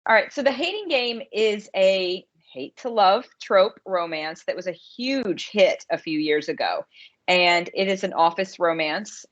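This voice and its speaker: A woman's voice: mid-range voice, a bit bright, muffled recording. A woman co-hosting a podcast about romance writing, chatting casually as an author.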